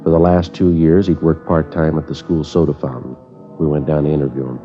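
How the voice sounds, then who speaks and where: An actor playing a methodical police sergeant who narrates and questions witnesses in a clipped, matter-of-fact way, in 1950s radio drama style. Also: male voice, very deep voice, little pitch movement, a little fast. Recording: dull old radio broadcast with music.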